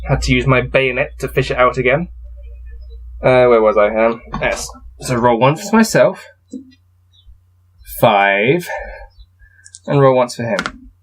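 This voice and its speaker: A man's voice: medium pitch, a little slow, long pauses, low-quality recording. A man hosting a casual gamebook playthrough, reading passages aloud and adding wry, self-deprecating asides. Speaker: host playing through a gamebook.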